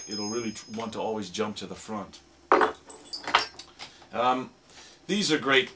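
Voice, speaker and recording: A man who produces radio drama, demonstrating sound effect gadgets in a relaxed, explanatory way with plenty of fillers. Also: lowish voice, noisy background.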